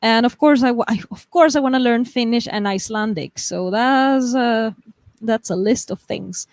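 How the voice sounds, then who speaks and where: A woman's voice: on the high side, moderate pace, darkish tone, no echo, clean studio sound. A young woman from the United States hosting a live stream, chatting casually and reading viewer comments aloud, often laughing and restarting her sentences.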